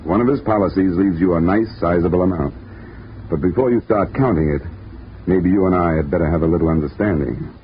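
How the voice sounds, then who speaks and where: A man's voice: sits low, fairly quick, slight background noise, lightly acted. A man acting a young wisecracking insurance investigator who narrates a radio drama with dry, wry humor. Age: young adult. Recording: dull old radio broadcast with music.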